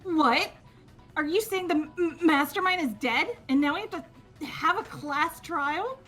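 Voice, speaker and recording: A woman voicing a nervous, gloomy teenage bookworm with a halting stammer in a fan-dubbed video game. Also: extremely high pitch, fairly bright tone. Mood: anxious, suspicious. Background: game music.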